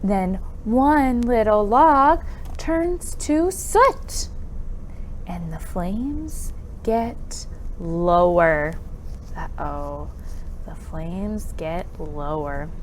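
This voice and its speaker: A woman's voice: mid-range voice, animated, drawn-out words, a little hiss or hum. A woman presenting an interactive storytime for young children, with counting songs and exaggerated exclamations and questions.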